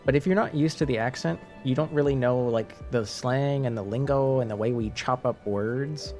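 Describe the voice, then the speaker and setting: A man's voice: medium pitch, normal speed, a little mellow, soft background music. A man from French Canada with a light French Canadian accent, talking casually to his stream viewers, rambling with many restarts and fillers.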